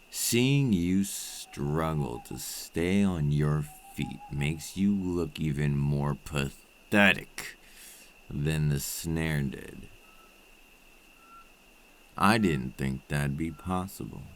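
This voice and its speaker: A man acting as a cold, possessive vampire captor in an ASMR roleplay, speaking with deliberate, condescending menace and dropping into breathy murmurs. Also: a bass voice, a little hiss or hum, heavily acted. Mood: mocking, menacing.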